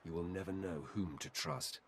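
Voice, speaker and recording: A grave Sith warrior from a video game, with a very low voice full of gravel. He speaks formally and solemnly, in measured phrases. There is clear noise behind the voice. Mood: solemn, admonishing. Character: deep-voiced Sith warrior confronting his solemn mirror-image light self. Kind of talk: video game dialogue acting.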